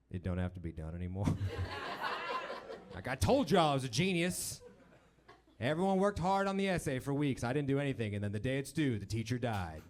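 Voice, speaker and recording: Male comic, 30s, wry and self-mocking, lively pitch, jumps to high voices for impressions. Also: a little hiss or hum. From born in Romania, raised in Texas. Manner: dry, self-deprecating, mimics people in high voices. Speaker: stand-up comedian. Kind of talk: stand-up set. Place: comedy club stage with live audience.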